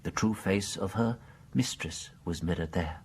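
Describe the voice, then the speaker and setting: An actor playing a gentle, shrewd British priest in a radio mystery drama, speaking mildly with polite hesitations. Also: male voice, sits low, measured pace, a little hiss or hum. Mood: quietly insistent. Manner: mild, hesitant, stammering politely.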